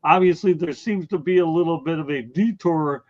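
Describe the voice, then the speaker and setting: A man's voice: a little high, normal speed, a little mellow, muffled recording. A man hosting a casual sports talk show, bluntly teasing and ranting at his co-hosts and viewers. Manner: blunt, teasing, addresses chat viewers by name.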